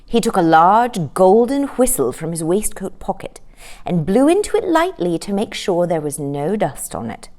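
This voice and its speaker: A woman storyteller narrating a children's story in an expressive read-aloud style. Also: on the low side, normal speed.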